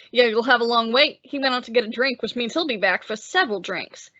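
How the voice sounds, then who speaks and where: A woman's voice: fairly high pitch, lively intonation, moderate pace, fairly bright tone, no echo, lo-fi sound. A woman playing a cocky, belligerent male barroom tough with a sarcastic, needling manner in an old-time radio detective drama. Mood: mocking.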